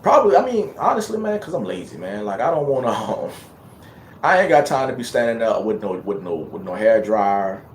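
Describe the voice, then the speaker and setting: A man's voice: fairly low pitch, sing-song, a little fast, reverberant, a little hiss or hum. Laid-back man with a light Louisiana lilt. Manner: laid-back, rambling, lots of fillers and restarts. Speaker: hair vlogger answering viewer questions. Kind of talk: casual livestream chat.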